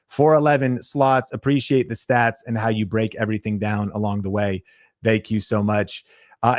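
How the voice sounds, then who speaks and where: A podcast host giving an energetic sales pitch on a livestream, talking straight to his viewers. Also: no echo, clean studio sound.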